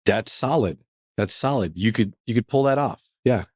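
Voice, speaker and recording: An upbeat man hosting a workplace podcast in a relaxed, chatty way, with plenty of fillers. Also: lowish voice, moderate pace, slightly rough, no room sound, studio quality. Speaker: podcast host. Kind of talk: casual podcast chat.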